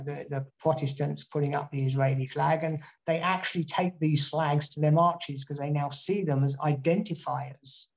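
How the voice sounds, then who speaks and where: Gravelly-voiced British man giving a talk. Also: on the high side. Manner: rambling, many restarts. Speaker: guest speaker. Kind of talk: online talk and Q&A.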